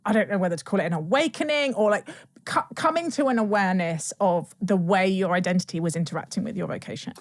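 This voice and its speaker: A woman's voice: medium pitch, fast talker, few pauses, dry recording, clean studio sound. A woman hosting a podcast, asking thoughtful questions and affirming her guest often. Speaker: podcast host. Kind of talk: conversational interview.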